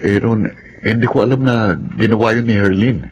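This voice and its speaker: A man's voice: fairly low pitch, fairly even intonation, fairly quick, darkish tone, close to an everyday voice. A man playing a puzzled, apologetic young friend in a Tagalog radio drama. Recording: dull audio with faint noise.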